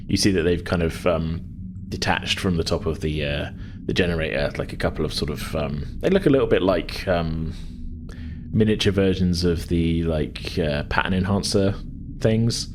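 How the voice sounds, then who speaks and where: A man's voice: sits low, normal speed, background noise. A man working as game master, narrating a tabletop sci-fi adventure in a hesitant, conversational, descriptive way.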